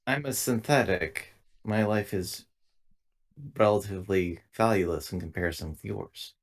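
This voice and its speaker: A man voicing a polite, dutiful female android in a tabletop game, speaking in formal, literal, measured phrases. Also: lowish voice. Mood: calm, dutiful.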